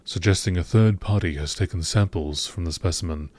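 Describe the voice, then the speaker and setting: Low-voiced man narrating over background noise. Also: fairly quick, a little mellow. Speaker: amateur narrator. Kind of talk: nature documentary style scripted narration.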